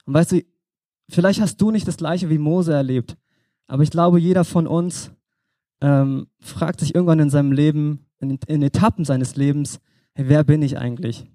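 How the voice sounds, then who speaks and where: A young man preaching in a relaxed, conversational way, speaking straight to the listener as if chatting. His voice sits a little high but sounds mellow and dark. The sound is close and dry, with almost no room echo. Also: moderate pace. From Germany. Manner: conversational, addresses listener directly. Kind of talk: informal sermon.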